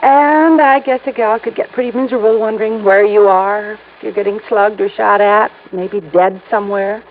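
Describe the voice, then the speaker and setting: A woman's voice: mid-range voice, fairly even intonation, normal speed, phone-quality sound, natural voice. An American actress playing a teasing, affectionate girlfriend in a 1950s radio drama, with a coy, playful manner. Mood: teasing, wistful. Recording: thin, hissy old-time radio recording.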